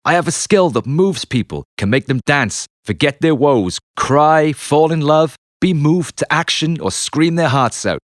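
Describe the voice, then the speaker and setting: A man reading his own memoir as an audiobook, in an expressive, confessional storytelling manner with dramatic pauses. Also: on the high side, no room sound, clean studio sound. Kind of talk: scripted memoir narration. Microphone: close, dry studio narration mic.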